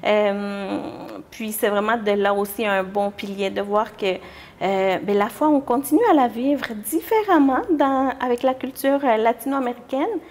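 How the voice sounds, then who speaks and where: A young woman with a fairly high voice. She answers as an interview guest in an informal way, often hesitating as she looks for her words. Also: a little slow, darkish tone. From Mexico. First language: Spanish. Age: young adult. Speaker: interview guest, church youth group leader.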